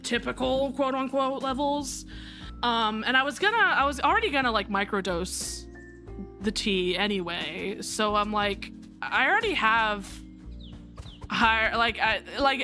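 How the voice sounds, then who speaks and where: A young woman streamer chats slowly and candidly while she plays, her voice bright and clear. She rambles, swears casually and keeps restarting her sentences. Soft music runs faintly behind her. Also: medium pitch. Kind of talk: casual chat while gaming. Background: faint background music.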